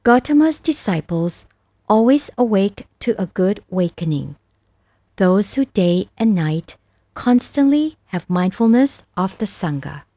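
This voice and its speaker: A woman reading scripture as scripted narration, reciting verses with measured, deliberate phrasing. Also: medium pitch, very animated, mellow, no room sound. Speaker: narrator of a Buddhist scripture audiobook.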